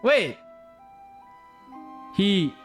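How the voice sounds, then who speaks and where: A man livestreams a story-driven game in a casual, chatty way. His pitch jumps around as he blurts reactions, curses and sings nonsense to himself, with faint game music behind him. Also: big pitch swings, very slow, a bit bright. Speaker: livestreamer playing a story quest. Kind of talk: casual live reaction commentary.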